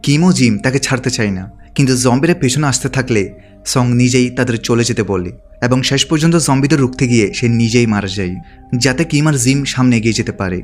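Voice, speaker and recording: Fast-talking male narrator over music. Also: mid-range voice. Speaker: narrator recapping a film. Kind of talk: scripted narration.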